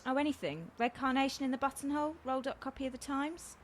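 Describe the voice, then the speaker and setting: Woman doing a wry hesitant lonely hearts lady, highish voice, radio play, bit of noise behind. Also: steady tone, fast talker, lightly acted. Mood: wry, cautious.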